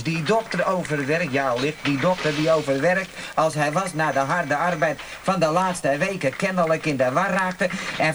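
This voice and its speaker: An actor playing a crude, excitable man who reads a lurid newspaper aloud with muttered asides, in a noisy old radio play recording. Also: on the high side, close to an everyday voice. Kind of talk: Dutch radio comedy acting.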